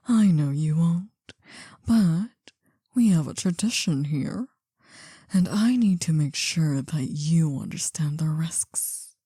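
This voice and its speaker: A woman's voice: low voice, expressive, husky, dry recording, clean studio sound, exaggerated voice. A woman playing a possessive older female mafia boss in an intimate ASMR roleplay, whispering breathily to the listener, coaxing yet menacing. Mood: quietly menacing. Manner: slow, hushed, deliberate. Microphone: close dry microphone.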